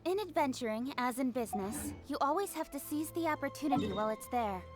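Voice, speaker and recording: A woman's voice: high voice, animated, quick pace. An actress voicing a spirited young female adventurer in a video game. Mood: confident. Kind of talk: video game character voice lines. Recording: game audio with music behind.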